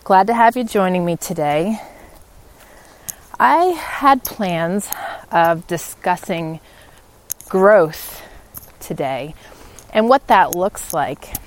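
Slow-talking woman podcast host, reflective. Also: on the low side, animated. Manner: halting, pauses mid-sentence while thinking. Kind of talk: reflective, unscripted casual monologue. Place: outdoors on a walk, in wind.

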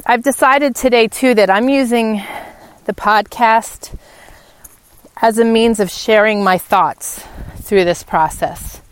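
A woman hosting a podcast in a reflective, unscripted monologue, pausing mid-sentence as she thinks, recorded outdoors on a walk. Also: fairly high pitch, clean tone. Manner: halting, pauses mid-sentence while thinking. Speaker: podcast host.

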